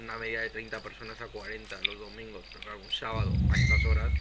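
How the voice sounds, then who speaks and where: A man's voice: on the low side, completely flat delivery, fast. Spanish-accented man on very noisy game chat. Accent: Spain. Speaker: armored vehicle player in a military simulation game. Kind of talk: casual online game voice chat.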